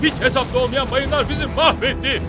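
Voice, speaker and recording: An actor playing an exasperated navy admiral in a dramatic cartoon, exclamatory and commanding. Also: male voice, extremely high pitch, steady tone, a little fast, music in the background, crowd noise. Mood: bitter dismay. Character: exasperated British navy admiral, shaken by defeat.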